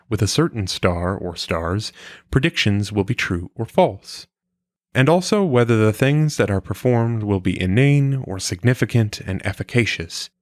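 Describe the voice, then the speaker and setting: A man reads a scripted philosophical text in a fairly low voice. His delivery is formal and measured, with clearly shaped phrases. The sound is very dry, with hardly any room around it. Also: dry recording. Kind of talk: scripted audiobook-style reading of an old philosophical text.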